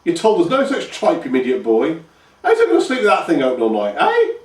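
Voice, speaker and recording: Male reader putting on a shrill, shouting old peasant granny, toothless and cranky, echoey and distant. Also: huge pitch swings, brisk. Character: screeching toothless old peasant grandmother, cantankerous. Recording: echoey room, voice from afar.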